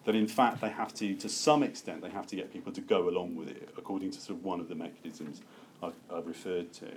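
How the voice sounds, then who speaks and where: A man with a fairly low voice leads an academic seminar. He speaks haltingly, often correcting himself as he works through ideas. There is noticeable room noise behind him. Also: fairly even intonation. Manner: halting, self-correcting, thinking aloud. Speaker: lecturer leading a seminar. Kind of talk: academic lecture with open discussion.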